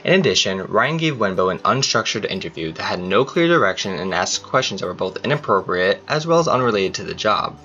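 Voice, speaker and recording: A man's voice: fairly low pitch, expressive, fairly quick, hardly any pauses, pushed and bright, muffled recording, a little hiss or hum. A man narrating a scripted training video, reading evenly from a prepared text.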